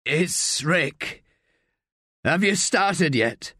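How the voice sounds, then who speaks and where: A man's voice: a little high, lively intonation, drawn-out words, bright, forceful tone, dry recording, clean studio sound, voice pushed up, exaggerated voice. A man reading an audiobook, voicing a dying man who speaks urgently and earnestly. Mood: urgent, resigned. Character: dying man, weary and resigned.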